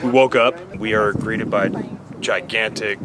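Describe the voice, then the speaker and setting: Enthusiastic low-voiced traveler, street noise. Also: male voice, fairly even intonation, measured pace. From United States. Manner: enthusiastic, chatty. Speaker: traveler, tour guest. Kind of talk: travel testimonial. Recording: outdoor street and traffic noise.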